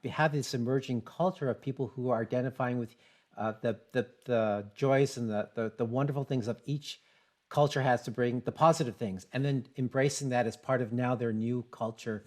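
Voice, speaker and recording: A man moderating a conversational panel as interviewer, reflective and measured as he sums things up and repeats words. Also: medium pitch, steady tone.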